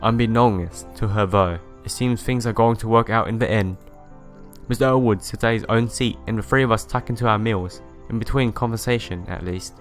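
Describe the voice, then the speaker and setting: A man's voice: fairly low pitch, moderate pace, faint music behind. A man hosting a let's play, reading visual novel narration aloud and sometimes stumbling and correcting himself.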